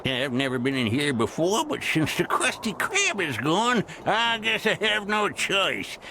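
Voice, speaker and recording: A man's voice: high-pitched, big pitch swings, unhurried, very noisy, full character voice. A man playing a snooty, reluctant restaurant customer in a cartoon game. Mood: reluctant, wary.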